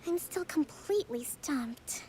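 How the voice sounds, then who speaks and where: A woman playing a gentle, composed young female leader in a video game, speaking in measured, formal phrases. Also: sits high, animated, a little hiss or hum, rough recording. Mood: weary. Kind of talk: English video game voice acting.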